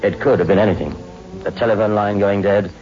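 Male actor as young London crime reporter, low voice, wry and theatrical, muffled vintage radio sound. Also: fairly even intonation, brisk, darkish tone, soft background music, natural voice. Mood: brooding, suspenseful. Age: young adult. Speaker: lead actor and narrator. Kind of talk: 1960s radio suspense acting. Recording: muffled old radio recording.

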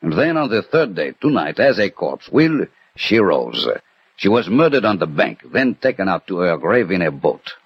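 An actor playing a refined French detective with measured, formal diction in an old radio drama. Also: male voice, deep voice, big pitch swings, slightly rough, no room sound, heavily acted. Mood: musing, analytical. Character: refined, cerebral French detective Dupin, grave and courteous. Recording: dull vintage radio recording with music.

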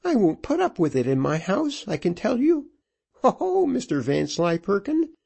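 A man voicing a brash, sharp-tongued widow, mocking and indignant, in a dramatised storybook reading. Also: sits high, sing-song, moderate pace, vocal fry, breathy edge, pitched above the performer's own voice, exaggerated voice. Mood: rising indignation. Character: brash sharp-tongued middle-aged widow, scornful and scolding. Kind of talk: dramatised audiobook character voice.

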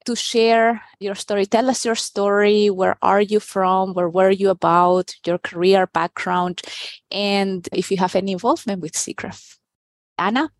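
A woman's voice: medium pitch, dry recording. Woman interview host, from Peru, raised in Venezuela, eager questioning, dry close recording. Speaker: podcast host. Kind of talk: conversational interview.

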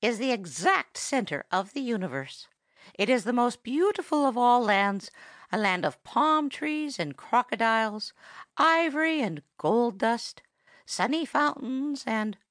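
Woman voicing a haughty lady parrot, swooping pitch. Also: very animated, a little slow, fairly bright tone, no room sound, studio quality. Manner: pompous, grand, easily offended. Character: vain, haughty female parrot storyteller.

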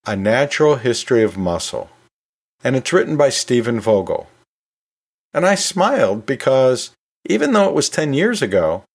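A man hosting a podcast in a conversational, storytelling way, chatting informally with asides like a teacher talking to listeners. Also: medium pitch. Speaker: podcast host; anatomy and physiology teacher.

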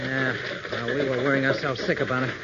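Brisk young radio hero, acted by a man. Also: medium pitch, level tone, very noisy, natural voice. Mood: exasperated. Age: young adult. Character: earnest young pilot hero, exasperated and insistent. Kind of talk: 1940s radio acting. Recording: muffled old radio broadcast, crowd behind.

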